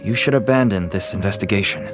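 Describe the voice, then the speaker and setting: A man's voice: fairly low pitch, fast talker, over music, lightly acted. A man playing a cautious, resigned middle-aged smuggler in a video game, explaining himself in a weary, conciliatory way. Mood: earnest warning.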